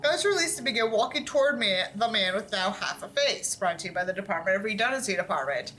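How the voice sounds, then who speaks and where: A woman's voice: medium pitch, lively intonation, quick pace, fairly bright tone, soft background music. A woman reading a book aloud theatrically, with sarcastic, exaggerated delivery and dry asides. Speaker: host reading a book aloud with mocking commentary.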